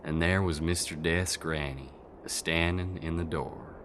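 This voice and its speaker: A man's voice: very deep voice, steady tone, measured pace, noisy background. A man playing a homespun Old West storyteller with an American country accent, narrating a folktale in colloquial style for radio drama. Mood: easygoing. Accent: American Southern or Western. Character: folksy Old West yarn-spinning storyteller.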